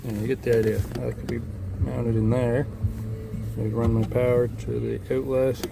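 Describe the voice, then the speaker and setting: A man's voice: medium pitch, little pitch movement, normal speed, vocal fry, loud music behind the voice. A man vlogging a DIY project in casual, unscripted talk, hesitant and thinking aloud as he goes.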